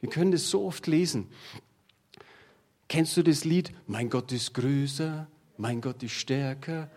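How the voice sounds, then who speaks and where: A man's voice: mid-range voice, a little slow, darkish tone. A middle-aged man preaching a sermon to his congregation, talking in a plain conversational way and drawing on everyday examples. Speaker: pastor.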